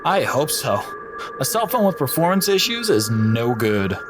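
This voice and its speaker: A man's voice: very animated, measured pace. An actor playing a brash, cocky young man sneaking onto a government base, shrugging off any worry. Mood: joking. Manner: brash, dismissive, jumpy pitch. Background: music.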